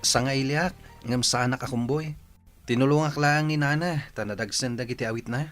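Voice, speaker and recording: A man's voice: mid-range voice, a little fast, fairly bright tone, faint music behind, lightly acted. A man playing a polite, helpful young factory worker in a radio drama, with an Ilocano accent. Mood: polite, modest.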